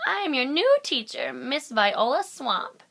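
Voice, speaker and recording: A woman's voice: high-pitched, huge pitch swings, measured pace, fairly bright tone, pitched above the performer's own voice, exaggerated voice. A woman voicing a stern, unpleasant witchy teacher from a storybook, hissing and snapping out bossy orders. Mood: snappish, commanding. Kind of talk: storybook character voice.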